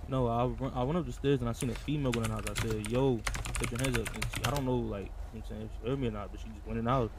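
Man playing a fast-talking, gravelly casual cop. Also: medium pitch, fairly even intonation, noisy background, natural voice. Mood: matter-of-fact. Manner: casual, slangy, hesitant with many asides. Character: casual, streetwise male police detective questioning people. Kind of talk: improvised game roleplay.

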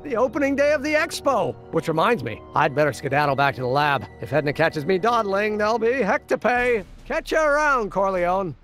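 An actor playing a self-assured, nerdy young inventor in a video game, breezy and wordy in an old-fashioned way. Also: male voice, high-pitched, sing-song, a little fast, hardly any pauses, a bit bright, faint music behind. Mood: eager. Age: young adult.

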